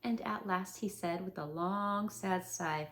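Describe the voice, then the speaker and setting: A woman's voice: medium pitch, unhurried, a bit bright, slight background noise. A woman reading a children's storybook aloud, adding chatty asides to her listeners. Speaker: storybook reader. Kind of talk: children's read-aloud narration.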